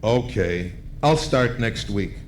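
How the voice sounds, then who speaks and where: An actor playing an exasperated, sleepless husband in an old-time radio comedy sketch, grumbling with sarcastic retorts. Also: male voice, fairly low pitch, animated, slow pace. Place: live stage in a hotel ballroom. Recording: noisy live event recording.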